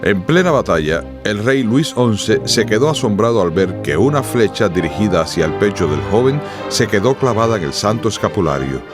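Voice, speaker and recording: A male narrator tells a radio drama story formally and dramatically. His voice is fairly low with lively intonation, and music plays clearly behind him. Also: moderate pace, few pauses.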